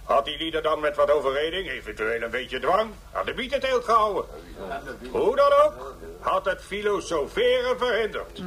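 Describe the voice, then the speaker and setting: A cantankerous philosopher in a radio play, lecturing pompously and full of scorn. The voice is high for a man, over faint background noise. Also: lively intonation, normal speed, a bit bright. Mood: sneering. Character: cantankerous, contemptuous male philosopher ranting against philosophers. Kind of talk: radio drama acting as a lecturing thinker.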